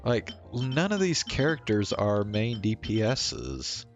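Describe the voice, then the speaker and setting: A man's voice: lowish voice, wildly swooping pitch, takes it slowly. A man streaming a game with casual, mildly exasperated commentary, swearing freely. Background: video game music.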